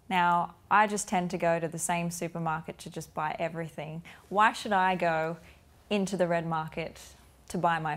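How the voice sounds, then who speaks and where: Woman talk-show host, lowish pitch, easygoing and inquisitive. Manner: gently inquisitive, meandering questions. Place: TV studio.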